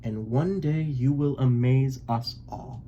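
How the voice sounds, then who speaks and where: A man voicing a gentle mother duck in a storybook, speaking softly and tenderly with reassurance. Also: mid-range voice, takes it slowly, highs cut off, a little hiss or hum, natural voice. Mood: tender, reassuring.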